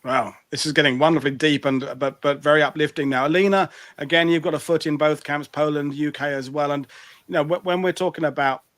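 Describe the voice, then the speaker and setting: A man's voice: fairly high pitch, steady tone, fairly quick, fairly bright tone, dry recording. A British man hosting a casual online panel discussion, chatty and rambling, with many restarts. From Britain. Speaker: host. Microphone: video call.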